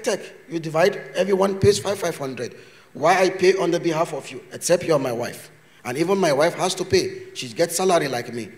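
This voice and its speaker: A man with a high voice gives a chatty conference talk, mixing Turkish words into loose English and playing out small exchanges as he goes. His voice carries a long reverb from a university hall. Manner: chatty, joking, acts out little scenes. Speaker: invited lecturer.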